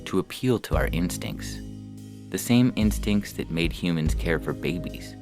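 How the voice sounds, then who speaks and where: A man's voice: sits low, animated, measured pace. A man reading as the narrator of a scripted story. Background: music.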